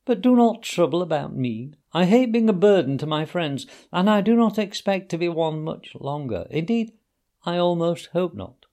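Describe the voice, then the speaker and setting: A vain toad sighs out his words in a feeble, martyred voice, as a man puts him on. His pitch climbs high and swings about as he lays on the self-pity. It is a storybook reading. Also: lively intonation, moderate pace, dark tone. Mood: feebly martyred. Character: vain self-pitying male toad feigning illness.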